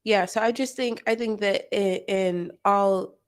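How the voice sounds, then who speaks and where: A woman co-hosting a casual podcast, talking candidly about her week in a soft-spoken way. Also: medium pitch, fairly even intonation, unhurried, clean tone. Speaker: podcast co-host.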